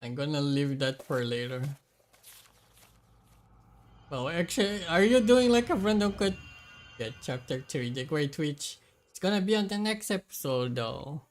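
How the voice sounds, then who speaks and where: A streamer of unclear sex with a rough, strained voice, chatting casually and excitably while playing a game, giggly and given to repeating little phrases. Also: mid-range voice, unhurried, long pauses. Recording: music behind the voice.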